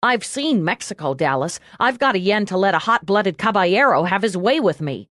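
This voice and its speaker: A woman's voice: mid-range voice, sing-song, normal speed, a bit bright, no room sound. A woman performs a loyal female police aide in a crime audiobook. She reports dutifully to her superior with a wry, cheeky edge. The sound is close and dry. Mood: teasing. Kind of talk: audiobook character dialogue.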